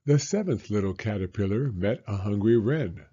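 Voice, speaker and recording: A man in his sixties reading a children's picture book aloud in a measured storytelling manner. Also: lowish voice, expressive, mellow, husky, dull audio. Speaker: story reader and host, elementary school teacher.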